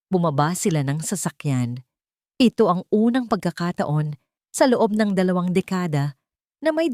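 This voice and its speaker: A woman narrates a scripted drama in a fairly low voice. The sound is dry and close, with very little room in it. She tells it as a storyteller reading prose. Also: darkish tone, no echo.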